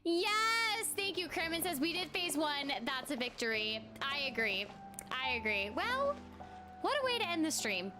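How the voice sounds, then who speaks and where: High-pitched lady streamer rambling slowly to chat, lots of ums. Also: very animated. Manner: chatty, self-deprecating, many fillers. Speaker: streamer and voice actor. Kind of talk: casual live game stream chat. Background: game music.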